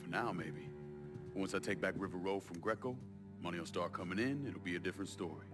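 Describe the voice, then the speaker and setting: A man's voice: low voice, brisk, natural voice. An actor playing a cold, commanding man bent on revenge in a video game, terse and menacing. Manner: terse, menacing, matter-of-fact. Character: cold, commanding, vengeful man turned crime boss. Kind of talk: cinematic game dialogue. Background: guitar music.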